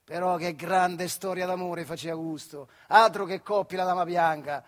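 A man's voice: high-pitched, steady tone, moderate pace, lightly acted. An actor playing a working-class factory man, telling nostalgic, wry stories in a colloquial theatrical monologue with a central Italian accent from the Marche. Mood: fond, wry. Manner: colloquial, acting out dialogue. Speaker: stage actor, solo storyteller. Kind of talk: theatrical monologue storytelling in dialect.

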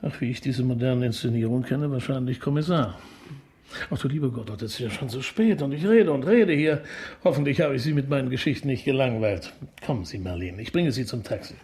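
An actor playing a polite, opera-loving police detective in a radio play, musing and probing gently in a roundabout way. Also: male voice, mid-range voice, lively intonation, a little fast, lightly acted. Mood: courteous, wry. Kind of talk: naturalistic radio drama acting.

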